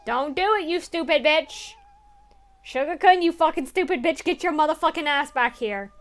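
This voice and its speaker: A woman's voice: sits high, expressive, normal speed, faint music behind. A young woman playing through a story game, reading the text aloud earnestly and breaking off into crude, swearing jokes.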